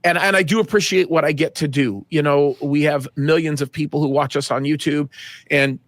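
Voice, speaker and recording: A man's voice: on the high side, fairly even intonation, fairly quick, no echo. A middle-aged man, a pastor, answering interview questions earnestly, often restarting and building emphasis. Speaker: guest pastor.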